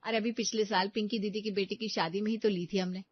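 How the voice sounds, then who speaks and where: A woman's voice: medium pitch, fairly even intonation, fast, no room sound, highs cut off, natural voice. A woman voicing a kindly, coaxing middle-aged wife and mother in a moral story. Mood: cheerful.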